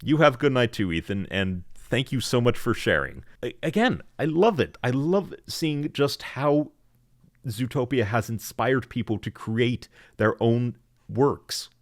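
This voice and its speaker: A man's voice: mid-range voice, lively intonation, measured pace, no room sound, studio quality. A man hosting a podcast in casual chat, upbeat and encouraging toward listener submissions. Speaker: podcast host.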